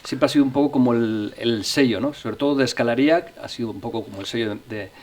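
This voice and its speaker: A middle-aged man from Navarre, a musician and interview guest, recounting his story in a relaxed way with frequent restarts and fillers. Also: mid-range voice, fast. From Navarre, Spain. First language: Spanish.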